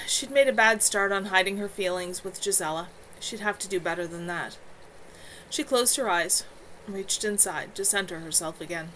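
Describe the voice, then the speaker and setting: A woman's voice: mid-range voice, a bit bright. A woman reading a novel aloud as narration, an amateur author on a livestream from home. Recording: noisy home livestream audio.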